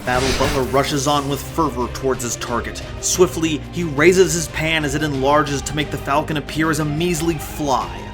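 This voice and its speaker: Man, dramatic scripted narration, theatrical storyteller, slightly high voice, strong music bed. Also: fairly even intonation, normal speed, few pauses. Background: prominent music.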